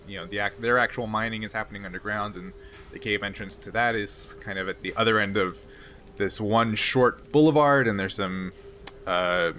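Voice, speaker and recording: A man working as game master, narrating a tabletop game off the cuff in a halting, conversational way. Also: fairly low pitch, sharp tone, slight background noise.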